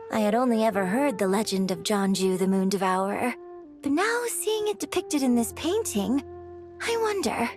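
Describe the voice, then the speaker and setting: A gracious young female opera singer from a game, acted by a woman, speaking with poise in a fairly high, expressive voice. She is polite and articulate, with faint music underneath. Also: lightly acted. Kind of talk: animated game voice acting.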